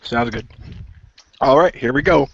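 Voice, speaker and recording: A man's voice: medium pitch, unhurried, vocal fry, fairly bright tone, dull audio, a little hiss or hum. A man casually improvising as a player in a radio role play.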